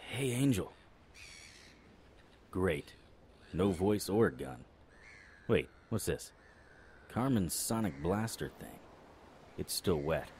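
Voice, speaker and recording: A man playing a wry, world-weary detective narrating a noir audio drama in clipped, deadpan phrases. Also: low voice, slight background noise. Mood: urgent, wry.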